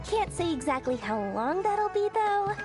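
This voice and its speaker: An actress playing a greedy, self-important traveling merchant in a video game, with pompous sales patter and dramatic delivery. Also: female voice, very high voice, very animated, moderate pace, over music. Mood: intrigued, shrewd. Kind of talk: animated video game cutscene voice acting.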